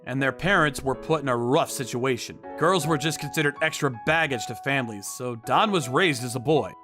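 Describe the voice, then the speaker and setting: A man narrating a manga dub story in the first person with earnest, expressive delivery. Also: mid-range voice, moderate pace, over music. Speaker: narrator and voice actor.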